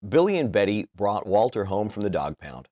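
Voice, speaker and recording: A man's voice: fairly low pitch, big pitch swings, moderate pace, mellow, no echo, lo-fi sound. A man reading a children's story aloud, expressively and with theatrical emphasis. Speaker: storybook reader.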